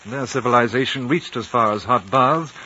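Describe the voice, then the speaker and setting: An actor playing a refined, thoughtful British gentleman adventurer, measured and polite, in a 1950s radio drama. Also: medium pitch, lightly acted. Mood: polite, wry. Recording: dull, noisy 1950s radio recording.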